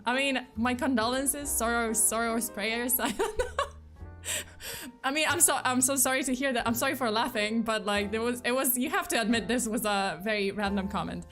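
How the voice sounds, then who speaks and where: A young woman with a fairly high voice chats with her live-stream viewers in a loose, giggly way. She speaks with plenty of fillers and false starts. Soft music plays quietly behind her. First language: Polish. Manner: chatty, giggly, many fillers and restarts. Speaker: VTuber streamer.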